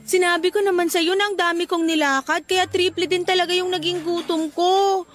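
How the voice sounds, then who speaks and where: Woman acting a very high-pitched, girlish girlfriend. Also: fairly even intonation, normal speed, a bit bright. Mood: coy, embarrassed. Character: bubbly young girlfriend, hearty eater, shy and guarded. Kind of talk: Tagalog radio-style drama acting.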